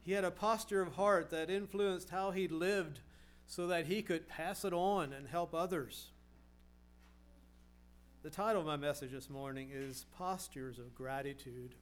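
Earnest middle-aged preacher posing rhetorical questions. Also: male voice, on the high side, steady tone, measured pace. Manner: earnest, asks rhetorical questions, repeats words. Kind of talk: sermon.

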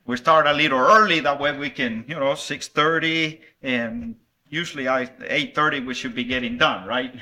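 Preacher, middle-aged man from El Salvador, friendly chatty revival sermon, voice a little high and very bright. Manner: friendly, conversational, with small laughs and fillers. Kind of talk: revival sermon with children's object lesson.

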